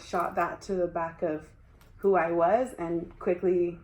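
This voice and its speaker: A woman's voice: on the low side, flat delivery, measured pace, a little mellow. Young woman chatting in an echoey room. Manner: conversational, frequent filler "like". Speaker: interviewee. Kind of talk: casual conversation.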